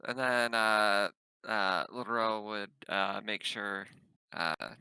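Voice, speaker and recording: A man's voice: on the low side, fairly even intonation, slow pace, bright, forceful tone, smooth, no echo. A man playing a tabletop roleplaying game, casually improvising narration and chatting.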